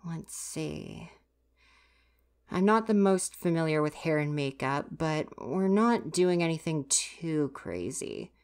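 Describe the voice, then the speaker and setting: A grumpy young stagehand of university age, played by a woman, speaks in a low-pitched voice. The delivery is huffy, exasperated and easily flustered, full of sighing reproach. It is ASMR roleplay, addressed directly to the listener. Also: expressive, slow, darkish tone, clean tone, exaggerated voice. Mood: grudgingly helpful.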